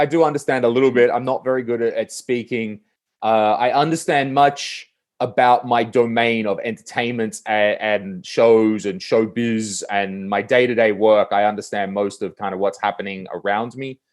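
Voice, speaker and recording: A man with an Australian accent, a podcast guest talking casually and animatedly, with lots of fillers and quick restarts. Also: lowish voice. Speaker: podcast guest, comedy producer. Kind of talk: casual conversational interview.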